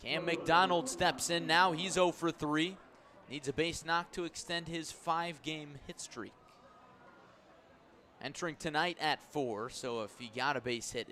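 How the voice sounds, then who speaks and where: A male baseball play-by-play announcer with a bright, forceful voice pitched slightly high. He calls the game live in clipped phrases and leans on key words. The delivery is that of radio sports commentary.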